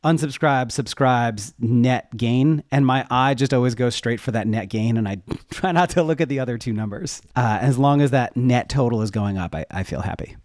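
A man's voice: mid-range voice, normal speed, few pauses, a little mellow, no room sound, studio quality. A man talking casually as a podcast guest, candid and conversational as he explains things from his own experience. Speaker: podcast guest, web development educator. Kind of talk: casual interview talk.

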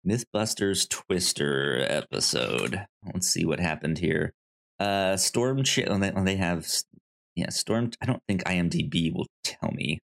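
A man co-hosting a podcast in casual movie chat, speaking haltingly with frequent repeated words and false starts. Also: deep voice, expressive, vocal fry, a bit bright. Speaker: podcast co-host.

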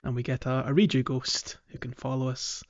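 A man's voice: medium pitch, level tone, fairly quick. A man co-hosting a podcast in casual, informal chat with some jokey banter. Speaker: podcast co-host. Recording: slightly dull audio over music. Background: music.

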